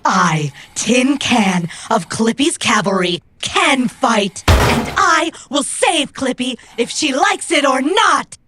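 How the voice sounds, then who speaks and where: A woman playing a proud, loyal robot companion in a cyberpunk audio drama, speaking in formal, precise, measured phrases with a husky voice. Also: high voice, lively intonation, noisy background. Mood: defiant.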